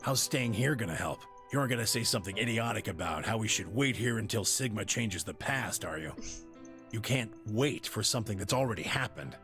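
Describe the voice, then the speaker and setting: Game casting: sardonic older man, blunt, fatalistic, low register, music under. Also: lively intonation, fairly quick, fairly bright tone. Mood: scornful, resigned. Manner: blunt, scornful, rhetorical. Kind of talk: video game voice acting.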